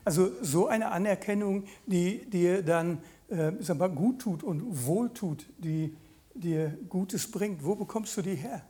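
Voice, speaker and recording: A man in his late fifties preaching a conversational sermon, pausing mid-sentence and putting questions directly to his listeners. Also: sits high, dark tone.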